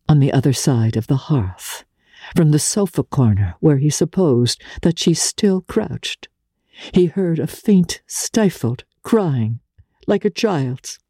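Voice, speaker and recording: Woman narrator for classic fiction: grave and deliberate, very measured pace, husky edge, dramatic delivery. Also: very deep voice, dark tone, no echo, studio quality. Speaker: audiobook narrator.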